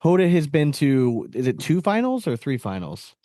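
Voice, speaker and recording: A man's voice: fairly high pitch, very animated, normal speed, dark tone, no room sound, studio quality. A man hosting a podcast in casual sports chat, talking in a slangy, opinionated, conversational way. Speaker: podcast host.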